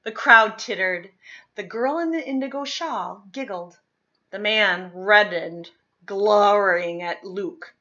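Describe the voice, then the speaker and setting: A woman reading a children's picture book aloud expressively, with deliberate phrasing, dramatic pauses and emphasis. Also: medium pitch, bright, forceful tone, muffled recording. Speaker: read-aloud storyteller.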